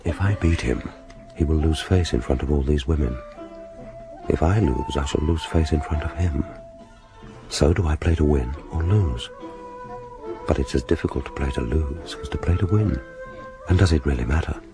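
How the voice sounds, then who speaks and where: An actor playing a suave, dry, teasing spy in a radio drama, speaking with formal urbanity. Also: male voice, very deep voice, moderate pace, pauses often, loud music behind the voice. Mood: brooding.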